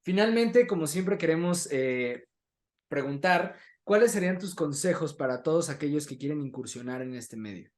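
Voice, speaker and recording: Mexican man hosting, slightly high voice. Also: animated, moderate pace, darkish tone. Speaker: interview host. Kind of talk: casual conversational interview.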